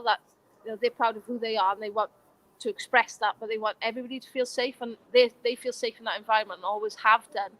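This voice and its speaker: A woman's voice: fairly high pitch, rough recording. A Welsh woman and former player answering interview questions in an earnest, passionate way. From Wales. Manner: earnest, passionate, warm praise.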